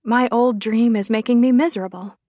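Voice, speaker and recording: A woman's voice: on the high side, fairly quick, dark tone, no room sound, pitched above the performer's own voice, lightly acted. A frazzled woman of about thirty, acted out by a female narrator, feisty and self-deprecating, with emotion close to the surface. She speaks in audiobook dialogue with a dry, close sound. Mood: miserable, confiding.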